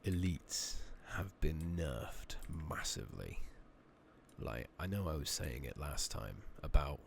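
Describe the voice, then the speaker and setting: A man doing soft-spoken, partly whispered ASMR commentary over a video game, rambling casually with mild swearing, on a noisy makeshift microphone. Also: very deep voice, very animated, slow. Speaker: game streamer playing an online fantasy game. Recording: noisy makeshift microphone, clear background noise.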